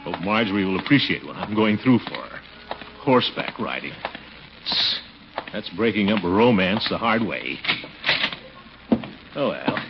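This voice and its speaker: An actor playing a stout, bashful middle-aged uncle in a 1940s radio comedy, hemming, hawing, chuckling and easily flustered. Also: male voice, fairly low pitch, expressive, measured pace, long pauses, music in the background. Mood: grumbling. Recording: muffled, noisy 1940s radio recording.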